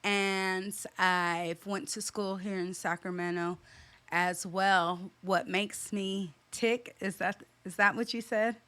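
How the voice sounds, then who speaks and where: Smooth-voiced, emphatic young woman. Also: medium pitch, steady tone, slow, fairly bright tone, no echo. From California. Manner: emphatic, repeats key points, direct. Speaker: stylist and boutique owner, guest. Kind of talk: interview answers, advice.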